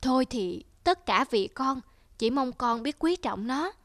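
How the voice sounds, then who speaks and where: A woman playing a poor, self-sacrificing elderly mother in an animated moral tale, patient, plaintive and weary. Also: high-pitched, unhurried, no room sound, studio quality. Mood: tired, gentle.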